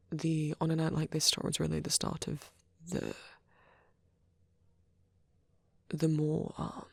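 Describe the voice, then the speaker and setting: A woman plays a shy young vampire boyfriend in an intimate ASMR roleplay. The voice is low and husky with a crackly fry, often slipping toward a whisper. He rambles bashfully, stammering and restarting as he goes. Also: no room sound, heavily acted. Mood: fond, rambling. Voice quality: soft, breathy, often near a whisper. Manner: hesitant, flustered, halting, with many stammers and trailing restarts.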